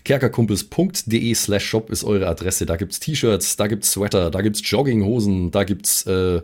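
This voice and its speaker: A man's voice: on the low side, darkish tone. A man co-hosting a podcast, talking casually with slangy, laid-back salesmanship. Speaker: podcast host and tabletop player. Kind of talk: casual chat and informal self-promotion.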